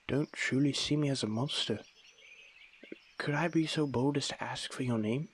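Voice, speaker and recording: A man playing a lonely, young-looking aristocratic vampire in an intimate audio roleplay, guarded yet tender, with formal old-fashioned wording and a soft breathy delivery. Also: medium pitch, a little hiss or hum, close to an everyday voice. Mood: flustered, moved. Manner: formal, old-fashioned wording, hesitant stammers when moved. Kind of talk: ASMR audio roleplay, intimate dramatic monologue.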